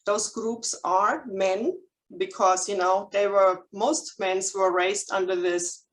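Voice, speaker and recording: A woman's voice: mid-range voice, fairly even intonation, slow pace, poor audio. A German-accented woman in her forties, a licensed counselor giving a candid presentation to a live audience, with many ums and restarts. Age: 40s. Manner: candid, frequent ums and restarts, nervous humor. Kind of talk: chamber of commerce presentation.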